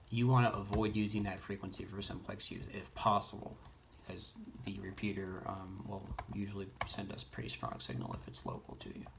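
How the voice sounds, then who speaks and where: A man giving an unscripted how-to tutorial in a halting way, thinking aloud as he explains each step. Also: on the low side, vocal fry. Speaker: instructor in a radio how-to tutorial. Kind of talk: unscripted screen-demo tutorial. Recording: noisy, keyboard and mouse clicks. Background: noise, typing and clicking.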